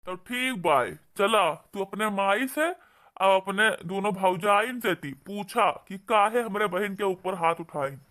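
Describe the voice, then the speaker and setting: A man's voice: sits high, a little slow, bright, forceful tone. A man with an Awadhi accent voicing an indignant, protective brother-in-law who scolds and argues in a comedy cartoon. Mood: angry, distrustful. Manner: scolding, argumentative. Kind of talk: amateur comedy cartoon dialogue.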